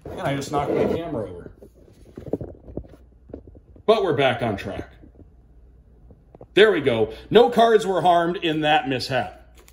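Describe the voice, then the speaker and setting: A man with a slightly high voice presents an unboxing in an upbeat, casual way. He speaks directly to viewers like a host guiding them through each item. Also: slight background noise. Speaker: sports broadcaster hosting a card unboxing. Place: indoor room at a wooden table.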